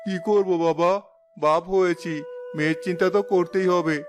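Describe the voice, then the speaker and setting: A man's voice: high voice, steady tone, measured pace. A man voicing a worried, despairing middle-aged village father in a cartoon, plaintive and lamenting. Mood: resigned. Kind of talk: Bangla cartoon voice acting. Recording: music behind the voice.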